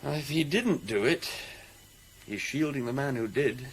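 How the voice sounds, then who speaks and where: Actor as troubled british bloke wrongly accused, radio play, halting n earnest, voice goes up and down a lot. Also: male voice, mid-range voice, lively intonation, a little hiss or hum, natural voice. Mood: bitter, earnest. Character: anxious accused man protesting his innocence. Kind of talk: British radio drama acting.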